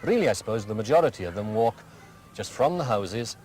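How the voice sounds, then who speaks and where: A middle-aged Welsh headmaster answering interview questions in a measured, explanatory way, giving plenty of examples. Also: male voice, on the low side, animated, a little mellow, soft background music. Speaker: school headmaster. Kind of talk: archive community TV interview answers.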